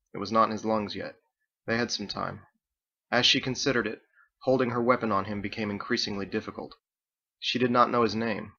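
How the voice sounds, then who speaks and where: A man's voice: fairly low pitch, creaky, pushed and bright, muffled recording. A man reading his story aloud as dramatic scripted audiobook narration. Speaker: author reading own book.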